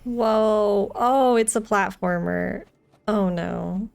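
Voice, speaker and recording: A woman's voice: mid-range voice, extremely slow, creaky. A woman giving casual gameplay commentary as a streamer, musing aloud in short reactions. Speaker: game streamer.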